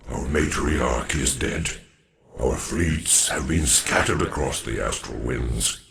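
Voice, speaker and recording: A man playing a grave, weary old alien warrior in a video game, with a deep gravelly voice and a solemn, formal manner. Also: heavily acted.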